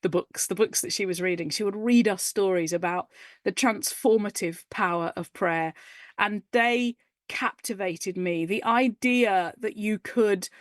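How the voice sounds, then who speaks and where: A woman in her forties talking as a guest on a radio interview, eager and animated, and quick to laugh. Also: medium pitch, no room sound, studio quality. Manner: animated, enthusiastic, laughs easily. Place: radio studio.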